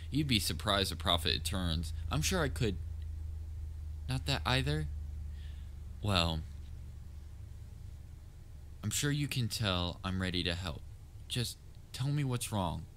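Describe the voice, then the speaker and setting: A man playing a theatrical supervillain who is soft-hearted and anxiously fond of his heroic nemesis, in an earnest, halting roleplay delivery with wry banter. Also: on the low side, animated, a little hiss or hum. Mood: worried, coaxing. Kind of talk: comfort roleplay audio acting addressed to listener.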